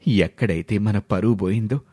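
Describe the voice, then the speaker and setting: Man putting on a deep-toned proud father. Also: moderate pace, warm tone, slightly rough. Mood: proud, moved. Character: proud, emotional village father.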